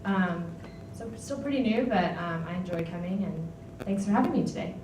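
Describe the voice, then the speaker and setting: A woman's voice: lowish voice, lively intonation, moderate pace. A young woman introducing herself on a conference panel, hesitant, with many fillers. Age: young adult. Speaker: panelist, instructional designer. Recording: echoey room, distant mic, noisy.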